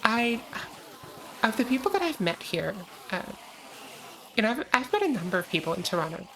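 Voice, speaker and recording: An American woman chatting casually on a gaming livestream, answering chat with frequent pauses and ums. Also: on the high side, sing-song, fairly bright tone, a little hiss or hum, low-quality recording. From United States. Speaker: streamer.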